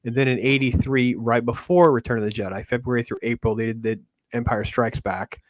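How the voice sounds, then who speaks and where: A man's voice: medium pitch, fairly even intonation, brisk, no echo, low-quality recording. A middle-aged man on a fan discussion panel, talking casually but articulately.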